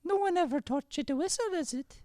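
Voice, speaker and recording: A man's voice: very high voice, fairly quick, darkish tone, no room sound, clean studio sound. A man voicing a chatty, forthright Irish schoolgirl in an improvised radio comedy. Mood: curious, teasing. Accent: Irish, put on. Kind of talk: improvised old-time radio comedy play.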